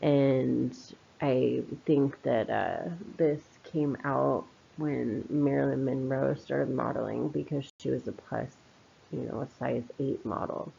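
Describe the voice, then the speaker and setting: A woman's voice: a bass voice, fairly even intonation, slow, vocal fry, mellow, slightly rough. A woman giving an informal spoken commentary in a reflective, opinionated, conversational manner. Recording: slightly dull, lo-fi voice recording.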